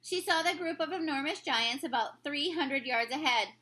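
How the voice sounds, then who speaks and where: A woman narrates a children's storybook like a teacher reading aloud. Her voice is notably high. It has a bright, clear-cut edge. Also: moderate pace.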